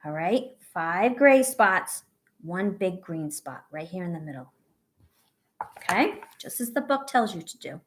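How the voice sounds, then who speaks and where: A woman teacher reading aloud to young children and guiding a breathing exercise, with an encouraging, instructive, expressive storybook delivery. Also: on the low side, a little slow, a little hiss or hum.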